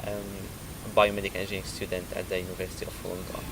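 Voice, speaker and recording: Young Syrian-born student, lowish voice, hesitant, heard from afar amid street noise. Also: male voice, completely flat delivery. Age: young adult. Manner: measured, hesitant with fillers. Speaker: student interviewee. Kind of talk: interview answers. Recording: distant mic with street noise. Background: street traffic.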